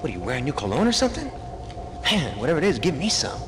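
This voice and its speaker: An actor playing a cocky young fighter pilot in casual game cutscene dialogue. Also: male voice, medium pitch, huge pitch swings, rapid-fire, background noise. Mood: teasing.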